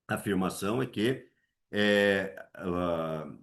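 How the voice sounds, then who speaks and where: A man's voice: slow pace, fairly bright tone. A man from Brazil teaching an exam essay lesson, asking himself questions and answering them, with many tag questions. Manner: swings his pitch high to act out voices.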